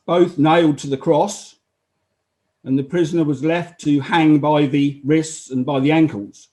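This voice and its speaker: A man's voice: a little high, dark tone. A man acting as a matter-of-fact Roman army officer who gives unemotional, explanatory testimony in a dramatized inquiry. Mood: matter-of-fact, official.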